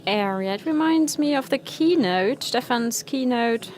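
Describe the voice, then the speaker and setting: A woman's voice: a little high, animated, measured pace, darkish tone. A woman moderating a conference session. She thanks the speakers politely and formally and asks the audience for questions. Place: conference hall stage.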